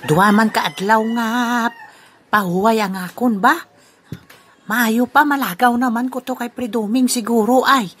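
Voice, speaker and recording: Woman acting a high-voiced, grumbling glutton of a man. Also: fairly even intonation, moderate pace, a bit bright, husky. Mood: stuffed, lazy. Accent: Western Visayan Filipino. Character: gluttonous, grumbling male freeloading buddy in a comedy. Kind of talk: comic audio drama acting.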